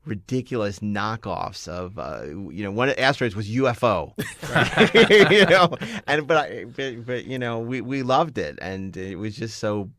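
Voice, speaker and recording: A man's voice: fairly low pitch, expressive, unhurried, a bit bright, dry recording, studio quality. A middle-aged man hosting a casual panel chat, telling jokey, enthusiastic stories. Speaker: host of a movie discussion show.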